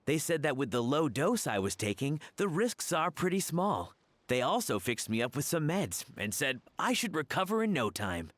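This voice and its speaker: An actor playing a mild, hopeful young male chef in a video game, with a gentle, modest, polite manner. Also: fairly high pitch, sing-song, normal speed, dry recording.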